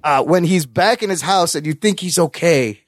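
A man's voice: on the high side, lively intonation, moderate pace, dry recording, clean studio sound. A middle-aged man chatting casually as a podcast co-host, enthusiastic and crude, swearing freely. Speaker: podcast co-host reviewing films. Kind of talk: casual chat.

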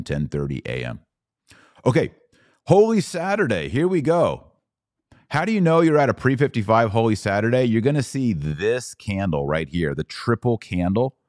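An American man with a low-pitched voice talks straight to his listeners as a podcast host. His delivery is folksy and conversational, like an informal explainer, and the sound is close and dry with hardly any room to it. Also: a little mellow, no echo. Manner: folksy, conversational, direct address to listeners with rhetorical questions.

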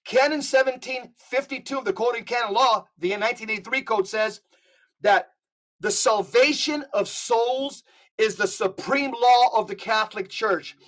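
Male podcast guest, emphatic preacher's delivery, spells out details slowly. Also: very high-pitched, fairly bright tone. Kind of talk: podcast conversation.

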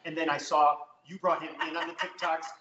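A man's voice: fairly high pitch, little pitch movement, a little fast. A man chatting casually as a co-host, with a jovial, teasing way of talking. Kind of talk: casual chat. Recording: echoey room, muffled, faint noise.

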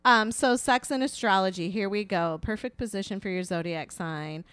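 A woman's voice: medium pitch, normal speed, fairly bright tone, clean tone, no room sound. A woman co-hosting a casual podcast, chatting and reading aloud in a frank, playful, informal way. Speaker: podcast co-host.

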